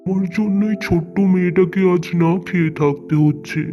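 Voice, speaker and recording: A man's voice: high voice, brisk, dull audio, faint music behind, natural voice. A man voicing a poor, kind-hearted farmer and father in a cartoon, earnest and plaintive. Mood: despairing.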